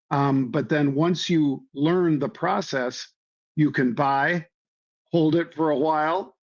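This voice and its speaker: A man with a slightly hoarse voice, a trading guest explaining things in a folksy way with many ums and repeated phrases. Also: a little high. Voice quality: hoarse from years of floor yelling. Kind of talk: conversational explanation. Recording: dull lo-fi audio.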